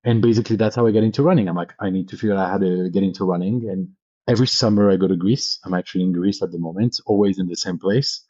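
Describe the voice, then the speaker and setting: A man's voice: deep voice, quick pace, mellow, no echo, highs cut off. A man in his thirties from France, talking casually and enthusiastically as an interview guest, telling personal stories in an informal way. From France (Paris). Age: 30s. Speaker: podcast guest, venture capitalist. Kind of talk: casual conversational storytelling.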